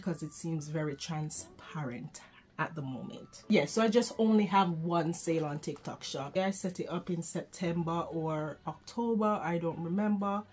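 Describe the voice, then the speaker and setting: A woman's voice: on the low side, a little mellow. A young woman chatting candidly in a haul vlog, rambling through asides as she unboxes things in her bedroom. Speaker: vlogger. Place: bedroom, indoors.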